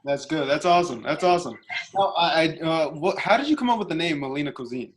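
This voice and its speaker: A man with a slightly high voice hosts a casual interview. He is encouraging and a bit hesitant in his phrasing, with faint noise on the recording. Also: expressive, fast.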